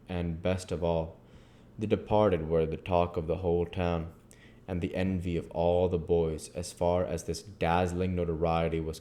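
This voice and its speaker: A man narrates a novel in a very low-pitched voice. His delivery is notably unhurried and his tone dark and mellow, suited to literary storytelling. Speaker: audiobook reader.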